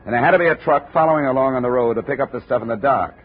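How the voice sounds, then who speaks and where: An actor playing a brisk, dry American insurance investigator who also narrates a 1950s radio drama. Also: male voice, medium pitch, husky, close to an everyday voice. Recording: narrow, muffled old radio recording.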